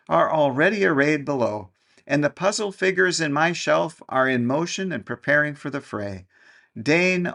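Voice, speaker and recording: A tender lady doll pleading with her prince in courtly storybook speech, as a male reader puts her on. The voice is lifted a little above his own, with a gravelly edge. It is earnest and imploring. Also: a little high, fairly bright tone, pitched above the performer's own voice, heavily acted. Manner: formal, imploring.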